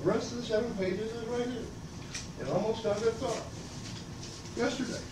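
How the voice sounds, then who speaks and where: An older man speaking loudly and abrasively in a rambling public comment at a meeting. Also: steady tone, moderate pace. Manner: loud and booming, abrasive, rambling and aggrieved. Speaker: member of the public giving comment. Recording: echoey room from far off, noisy.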